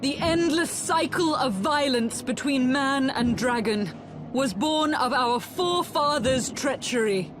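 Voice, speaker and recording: An actress playing a noble, resolute elven rebel leader in a fantasy video game, speaking in formal, oratorical phrases. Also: female voice, high voice. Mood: solemn, earnest.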